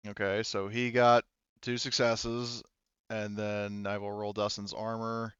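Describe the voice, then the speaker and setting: A man narrating a tabletop roleplaying game as game master in a casual, sweary, halting way. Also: lowish voice, flat delivery, a bit bright, smooth, muffled recording.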